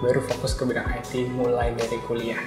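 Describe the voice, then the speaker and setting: A man's voice: mid-range voice, completely flat delivery, quick pace. A young man explaining things in a casual chat as an interview guest. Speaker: interview guest, backend developer. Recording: roomy echo, music behind voice. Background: background music.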